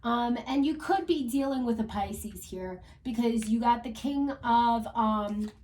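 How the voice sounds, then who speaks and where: A woman with a hoarse, fading voice from a cold, giving a chatty tarot reading at home with lots of fillers. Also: a little high, unhurried, darkish tone, recorded from a distance, a little hiss or hum. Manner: chatty, filler-heavy, talks to spirit and viewers. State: hoarse, losing her voice from a cold. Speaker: tarot reader. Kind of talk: casual tarot reading to camera. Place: upstairs room at home.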